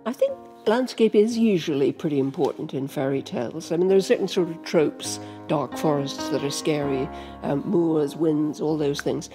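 An older woman talks in a panel discussion like a conversational storyteller. Her voice is mellow and slightly low, with faint guitar music behind it. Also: moderate pace, few pauses. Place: book-lined library room, seated. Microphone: microphone on a stand.

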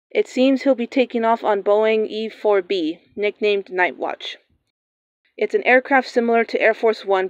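A woman playing a radio newscaster, reading breaking news in a steady, formal manner. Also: mid-range voice, no room sound, natural voice. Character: composed female radio newscaster. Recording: dull lo-fi sound.

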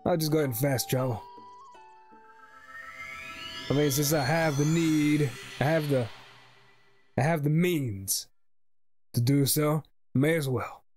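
Young man, fairly high voice, casual gaming host, rambling and jokey, game music behind. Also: measured pace, long pauses, darkish tone. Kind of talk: casual let's play commentary.

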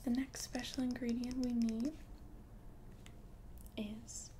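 A woman voicing an ancient, confident witch in a whispered ASMR roleplay, speaking in a soft, breathy, deliberate and soothing way. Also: on the high side, flat delivery, a little hiss or hum. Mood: calm, instructive. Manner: slow, deliberate, soothing, repeats words for emphasis. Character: ancient, confident witch brewing love potions.

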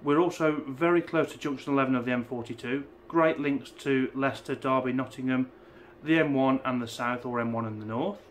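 A man in his forties, an estate agent, walking through a house and pointing out rooms in a steady, informative way. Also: medium pitch, brisk, hardly any pauses, a little hiss or hum. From England. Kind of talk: property walkthrough tour.

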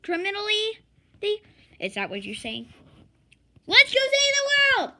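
A young reader voicing an earnest, alarmed police chief from a comic storybook, melodramatic and halting on long words. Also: very high voice, pushed and bright, pitched above the performer's own voice, full character voice. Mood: alarmed, dramatic.

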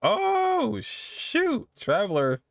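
A man's voice: very high voice, wildly swooping pitch, very slow, gravelly, a little hiss or hum. A young man streaming casual game reactions in short, muttered exclamations. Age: young adult. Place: indoor room.

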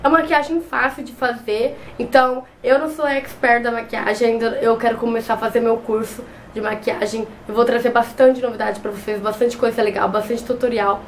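A woman's voice: fairly high pitch, steady tone, a little fast, few pauses, slight background noise. A young woman with a cold, chatting her way through a makeup tutorial in her bedroom, talking close to the camera and often restarting words. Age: young adult. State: has a cold. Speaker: beauty vlogger. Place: small bedroom with shelves and a clothes rack. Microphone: camera close in front.

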